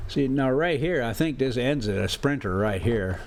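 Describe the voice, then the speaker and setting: A man's voice: medium pitch, animated, normal speed, a little hiss or hum. An American man chatting casually as a travel vlogger on a drive, rambling in a folksy, teasing, sarcastic way. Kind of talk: casual rambling chat and ranting.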